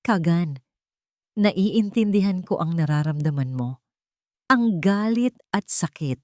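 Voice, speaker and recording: A woman voicing a gentle, forgiving young mother with a soft, tender delivery in a dramatic story. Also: fairly low pitch, expressive, slow pace, dry recording, clean studio sound. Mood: consoling.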